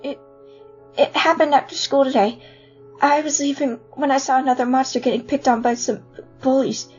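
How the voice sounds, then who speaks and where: A woman voicing a gentle, earnest young skeleton boy with hesitant delivery in a fan comic dub. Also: sits high, fairly even intonation, moderate pace, slightly rough. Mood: sad, tearful. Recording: muffled lo-fi audio over music.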